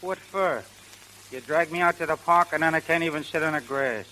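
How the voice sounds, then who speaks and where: Bright-voiced, dim young boxer. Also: male voice, fairly high pitch, brisk. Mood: stubborn, indignant. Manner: slangy, naive. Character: dim, girl-crazy young male boxer, sheepish and stubborn. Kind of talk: 1930s radio comedy-drama acting. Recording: noisy crackling 1930s radio broadcast.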